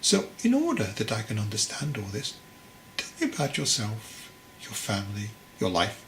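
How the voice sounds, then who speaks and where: A man voicing a wise, patient old monk master, teasing and instructive, in a measured delivery for a storybook. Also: fairly low pitch, huge pitch swings, pitched below the performer's own voice, exaggerated voice. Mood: serious, probing. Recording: clearly noisy recording.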